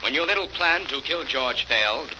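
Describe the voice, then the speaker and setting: An actor playing a cool, confident crime-fighter in an old radio mystery, speaking with assured deliberation. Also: male voice, mid-range voice, animated, moderate pace, lightly acted. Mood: ominous, accusing. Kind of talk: 1940s radio acting. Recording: dull, faintly noisy old radio recording.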